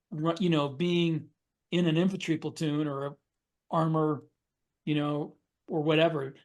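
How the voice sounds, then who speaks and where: A man's voice: a little high, a little mellow. An American man, a podcast co-host, talking casually in a discussion. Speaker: podcast co-host, military science fiction author.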